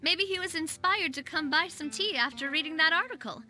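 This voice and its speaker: A woman playing a chatty, polite, curious young woman in a video game, with a chirpy and expressive delivery. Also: extremely high pitch, fairly quick, full character voice. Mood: casual, informative. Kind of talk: animated video game voice acting. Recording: faint background music.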